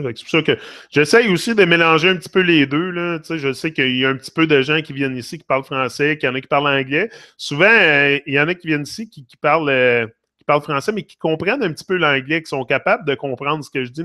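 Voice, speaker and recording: A middle-aged man with a Québécois accent chats loosely on a live stream, hopping between French and English. He rambles and fills his talk with "là", and his voice sits at an ordinary pitch. The sound is dry and close, with no room echo. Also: pushed and bright. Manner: rambling, talks to chat viewers by name, frequent "là" fillers. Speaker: livestream host.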